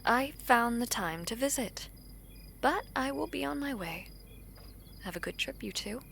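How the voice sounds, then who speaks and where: A woman playing a guarded, proud princess in a fantasy audio drama, speaking formally and deliberately with breathy phrase endings. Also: on the high side, expressive, fairly bright tone, a little hiss or hum, heavily acted. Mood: defensive, cool. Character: guarded, regal princess, a proud and protective sister.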